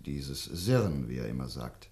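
A man's voice: very deep voice, lively intonation, moderate pace, darkish tone. An actor playing an earnest doctor in a radio play, insistent but often searching for his words. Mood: hesitant. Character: earnest, insistent male doctor investigating a mystery. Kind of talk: German radio play acting.

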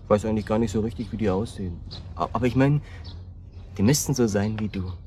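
An actor plays a soft-hearted man with a low voice, the placating friend in a German radio drama. He talks in a hesitant, gently wistful way. There is ambient noise behind him. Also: fast, close to an everyday voice. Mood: gentle, wistful. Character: conciliatory, gently wistful male friend of siblings. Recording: clearly noisy ambient background.

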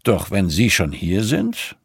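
A man acts out a rich, muscular patriarch for an audiobook. He is jovial and patronizing, speaking in a gravelly, commanding voice. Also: sits low, huge pitch swings, slow pace, dry recording, clean studio sound, heavily acted. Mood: eager, patronizing. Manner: commanding, expansive.

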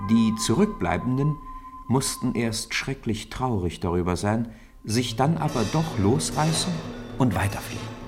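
A man's voice: medium pitch, big pitch swings, measured pace, over music. A man narrating a children's radio play in scripted storybook style.

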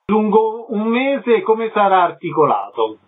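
Higher-voiced male radio interviewer, thin sound. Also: big pitch swings, a little slow, narrow, tinny audio.

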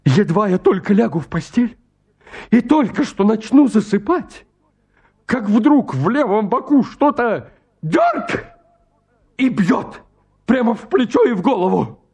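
A man's voice: high-pitched, big pitch swings, a little slow, a little mellow, slightly rough, full character voice. An actor playing a flustered, stammering bachelor suitor in his thirties, anxious and hypochondriac, in a comic radio play. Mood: anxious, agitated. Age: 30s. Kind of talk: stage comedy acting in a radio play.

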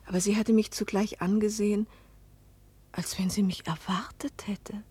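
An actress playing a bewildered, introspective woman of forty-one, narrating and speaking in a German radio play with a hushed, breathy, searching delivery. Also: medium pitch, little pitch movement, moderate pace. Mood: hushed, pensive.

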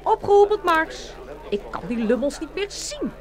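A woman's voice: very high-pitched, sing-song, moderate pace. A woman playing an impatient, imperious young princess in a fairy-tale audio play. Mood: exasperated. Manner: imperious, dismissive. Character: haughty, impatient young princess choosing a suitor.

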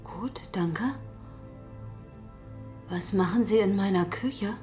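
A woman's voice: mid-range voice, slow, darkish tone, soft background music, close to an everyday voice. An actress in a German radio drama playing a guarded, politely accommodating country woman. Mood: wary, polite.

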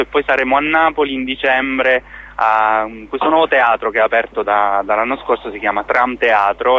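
A man explaining things in an unscripted radio interview as a theatre company member, often hesitating and searching for words. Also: mid-range voice. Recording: dull, lo-fi radio sound.

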